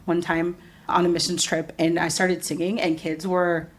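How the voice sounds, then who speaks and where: Woman, from the US, podcast guest, casual chat, animated storyteller, lower voice. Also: moderate pace. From United States. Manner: animated storyteller, laughs often, acts out quotes. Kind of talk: casual conversation.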